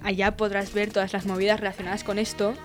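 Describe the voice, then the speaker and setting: Young woman, mid-pitched, bubbly and teasing, faint music underneath. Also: level tone, fast. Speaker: podcast co-host. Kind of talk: casual chat.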